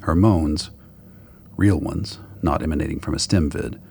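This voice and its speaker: Deep gravelly male story narrator. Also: measured pace, darkish tone. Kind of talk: scripted dramatic story narration.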